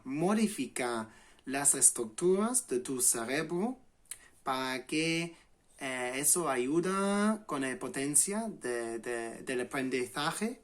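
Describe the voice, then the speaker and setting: A man in his late twenties from England, a native English speaker with an English accent, giving a relaxed spoken lesson to camera and pausing often to restart with fillers. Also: mid-range voice. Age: late 20s. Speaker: language channel host.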